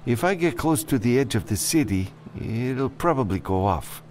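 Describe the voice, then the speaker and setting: A man's voice: mid-range voice, animated, moderate pace, slight background noise, voice pushed up, lightly acted. A man voicing a timid, grateful runaway mechanic with a meek, pleading manner in an audiobook. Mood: meek, anxious.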